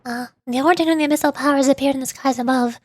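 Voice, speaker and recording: A playful, expressive woman, commentating on a video game livestream as a vtuber. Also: sits high, very fast, dry recording. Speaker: vtuber streamer. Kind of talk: game livestream commentary, reading game text aloud. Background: game music.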